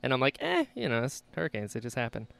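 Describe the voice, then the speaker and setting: Smooth, enthusiastic American man, close and dry. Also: medium pitch, huge pitch swings, fast talker, fairly bright tone, no echo. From Florida, United States. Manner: enthusiastic, effusive. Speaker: podcast host. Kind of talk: casual podcast chat.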